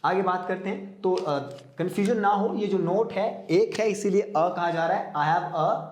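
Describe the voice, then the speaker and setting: A man teaching an English grammar class in Hindi, reading example sentences out slowly and deliberately. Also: high voice, a little mellow, roomy sound. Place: classroom with a board.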